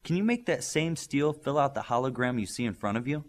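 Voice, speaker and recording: A man voicing a practical adult female scientist in an audiobook, with a measured, precise, businesslike manner. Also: mid-range voice, lively intonation, voice pushed up, close to an everyday voice. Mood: brisk.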